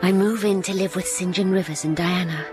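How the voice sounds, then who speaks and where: A woman playing an earnest young governess in a radio drama, narrating in a quiet, reflective way and often dropping to a whisper. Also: fairly low pitch, fairly even intonation, a little fast, music in the background, lightly acted.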